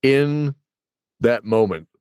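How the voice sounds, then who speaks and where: A man's voice: medium pitch, slow, a little mellow, clear, resonant tone, no echo, studio quality. A man chatting casually as a game master, rambling through campaign stories and acting out what his players said. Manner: rambling, acts out players' reactions in quotes. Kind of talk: casual animated storytelling chat.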